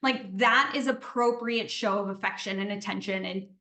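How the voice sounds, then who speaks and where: A woman's voice: medium pitch, moderate pace, sharp tone, echoey room. A woman, a podcast guest, talking at length in a casual interview. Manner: talkative, explains at length.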